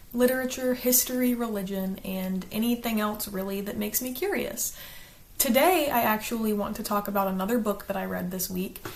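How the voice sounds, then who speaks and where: Chatty Southern woman from South Carolina. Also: medium pitch. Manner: quick-talking, chatty and earnest, slows down to enunciate. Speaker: channel host, book reviewer. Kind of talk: casual talk to camera, partly read from a script.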